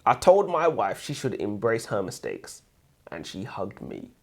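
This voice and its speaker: Animated man in casual banter. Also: lowish voice, unhurried. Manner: rambling, colloquial, slangy. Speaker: co-host of a book review show.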